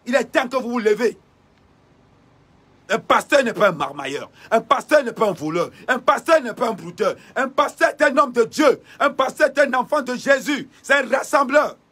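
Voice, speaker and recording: Husky high-voiced man ranting. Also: lively intonation, normal speed. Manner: repetitive preacher-like cadence with shouted bursts. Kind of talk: impassioned denunciation.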